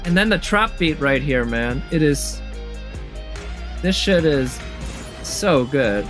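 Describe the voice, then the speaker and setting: A man's voice: on the high side, animated, slow, fairly bright tone. A man giving casual, off-the-cuff reaction commentary as a video commentator, rambling a little as he thinks aloud. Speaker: video commentator ranking game trailers. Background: music from trailers playing.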